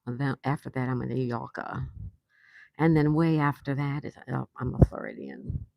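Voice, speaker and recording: A woman's voice: rumbling low, steady tone, moderate pace, vocal fry, no echo, lo-fi sound. An older woman from New York hosting a casual livestream from an outdoor patio, chatting and reading viewers' messages aloud with frequent laughs. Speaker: livestream host. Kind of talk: casual live chat reading comments.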